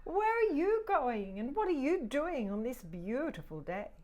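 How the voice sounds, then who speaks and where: An aloof cat, played by a woman reading a storybook, speaks in a haughty, drawn-out high voice. Each phrase swoops with lofty disdain. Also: big pitch swings, a little mellow.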